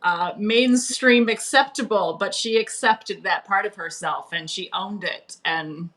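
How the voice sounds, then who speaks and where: A woman's voice: mid-range voice, a little slow, fairly bright tone, poor audio. A woman speaking as a guest author, chatting casually about her own writing in an interview.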